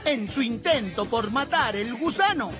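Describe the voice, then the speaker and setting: A Peruvian woman reads a scripted gossip voice-over with a sarcastic, teasing edge. Her voice sits high, and loud dance music plays under every line. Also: animated, a little slow. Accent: Peruvian Spanish. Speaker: gossip show narrator. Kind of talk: mocking scripted voice-over narration. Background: loud reggaeton music.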